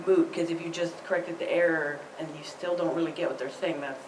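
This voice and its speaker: A woman's voice: sits low, steady tone, brisk. A woman tutor sharing her approach in a casual, thoughtful, hedging way. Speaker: writing tutor. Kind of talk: casual discussion. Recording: roomy distant mic with room noise.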